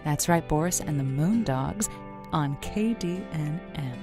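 A woman's voice: low voice, expressive, measured pace, music in the background. An actress playing an earnest, folksy woman who hosts a radio dating-advice show, chatty and playful. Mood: folksy showmanship. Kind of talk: audio drama acting as late-night radio host.

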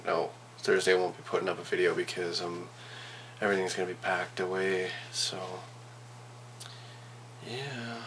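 A man's voice: deep voice, steady tone, moderate pace, pauses often, fairly bright tone. A man in his twenties with a Canadian accent, chatting casually as a vlogger in a laid-back, slangy, rambling way into a camera mic. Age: 20s. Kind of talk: casual vlog chat. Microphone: camera's built-in mic, handheld or at arm's length. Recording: noisy handheld camera audio, vehicle and ambient noise.

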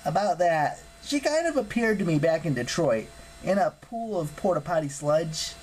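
Friendly teen mechanic, voiced by a man, modest, offhand, higher pitch, audiobook, background noise. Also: big pitch swings, moderate pace, darkish tone, poor audio. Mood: sheepish. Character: modest, friendly, casual teenage boy mechanic. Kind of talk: audiobook character acting.

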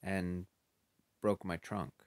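A man reading a picture book aloud, voicing an elephant telling a long story, slow and dramatic. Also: sits low, level tone, dry recording. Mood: earnest. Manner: slow, dramatic storytelling with sing-song sounds. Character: gloomy elephant telling his tale.

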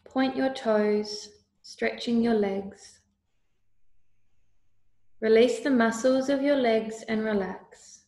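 A woman leading a guided meditation with calm, soothing, deliberate instructions. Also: a little high. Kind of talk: slow guided meditation narration. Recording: roomy, echoey voice recording.

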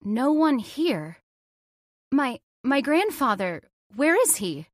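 High-voiced, anxious young woman, acted by a woman. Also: sing-song, slow, dry recording, clean studio sound, full character voice. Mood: uneasy wonder. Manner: pleading, questioning, dramatic. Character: anxious, insistent young woman seeking family secrets. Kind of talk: old-time radio horror acting.

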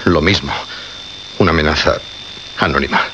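An actor with a Castilian Spanish accent playing a haunted, bewildered man in a radio suspense drama, halting and brooding as he speaks. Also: rumbling low. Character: haunted, bewildered male radio host, agitated. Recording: dull, noisy radio recording.

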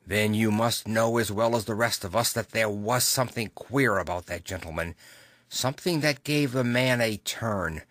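A man's voice: on the low side, moderate pace, fairly bright tone, dry recording, natural voice. A man voicing an anxious, superstitious Victorian butler in an audiobook, hesitant and respectful. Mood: uneasy, hesitant. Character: anxious, deferential Victorian male butler, plain-spoken and superstitious.

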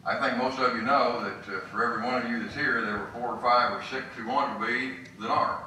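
A man's voice: medium pitch, steady tone, brisk, bright, forceful tone, a little hiss or hum. An older man, a law school dean, giving a formal welcome speech from a podium in a wood-paneled hall. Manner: formal, declaiming. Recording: echoey hall.

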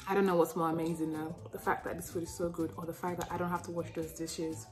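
A woman's voice: fairly low pitch, level tone, fast talker. A young woman chatting casually and expressively as she cooks in her home kitchen, filming on a handheld phone. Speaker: cooking vlogger. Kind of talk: casual cook-along vlog chat. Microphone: phone held in hand. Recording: music playing underneath.

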